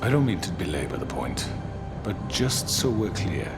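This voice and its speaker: A man playing a calm, scheming crime boss in a video game cutscene, polite but coolly menacing, with a low voice that rises and falls a lot. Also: normal speed, loud music behind the voice. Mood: cold. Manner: polite, condescending, threatening. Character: smooth scheming male crime boss with gun.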